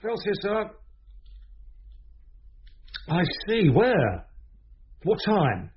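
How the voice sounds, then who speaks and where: Authoritative police detective, male, brisk delivery, slightly high pitch, lo-fi radio drama with light noise. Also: big pitch swings, exaggerated voice. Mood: urgent, commanding. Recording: muffled old radio recording, faint noise.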